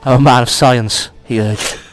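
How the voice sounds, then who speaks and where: A man with a mid-pitched voice jokes around as he reads aloud for comedy. He is teasing and giggly, swears freely and slips between casual chat and mock drama. Music plays behind him. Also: fairly even intonation, moderate pace, smooth, low-quality recording. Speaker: co-host reading fan fiction aloud. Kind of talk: casual joking banter and mock-dramatic reading.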